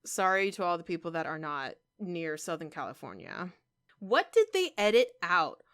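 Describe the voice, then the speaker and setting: A woman's voice: on the low side, big pitch swings, normal speed, fairly bright tone, clean tone. A woman chatting casually to her viewers as a vlogger, rambling and conversational with emphatic asides. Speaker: YouTuber and game streamer. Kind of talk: casual vlog chat to viewers.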